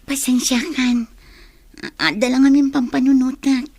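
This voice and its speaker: A woman's voice: high voice, steady tone, moderate pace, darkish tone, no echo. A woman playing a shy, pensive young female student in an Ilocano radio drama, hesitant and evasive.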